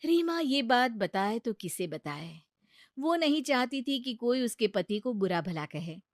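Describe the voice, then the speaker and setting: A woman's voice: on the high side, sing-song, measured pace, dry recording, studio quality. A woman narrating a scripted cartoon story as its storyteller. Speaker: narrator and voice performer.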